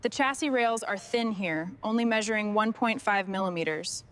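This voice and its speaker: A woman's voice: medium pitch, normal speed, fairly bright tone, a little hiss or hum, lightly acted. A woman playing a focused restoration crew boss, giving short orders in acted workshop dialogue. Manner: short, instructing phrases. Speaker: crew leader in a truck restoration.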